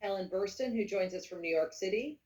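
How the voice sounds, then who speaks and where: A woman's voice: medium pitch, a little fast, dull audio. A woman moderating a panel Q&A, introducing guests and asking questions, affirming with frequent mm-hmms. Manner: warm affirming listener, frequent mm-hmm. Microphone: video call. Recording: distant video call audio.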